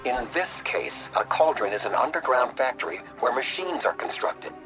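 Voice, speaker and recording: Man playing a learned older mentor, patient, erudite, mid-pitched, expressive intonation, game cutscene, music behind. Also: close to an everyday voice. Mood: thoughtful. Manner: measured, erudite, explanatory. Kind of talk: animated game cutscene acting.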